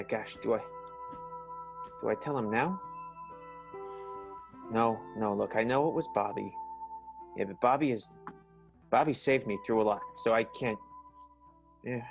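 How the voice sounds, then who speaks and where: A man's voice: medium pitch, creaky. A man playing an awkward, earnest, lovelorn boss in an improvised radio play, with hesitant, stammering delivery. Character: awkward, nervous, lovelorn male office boss.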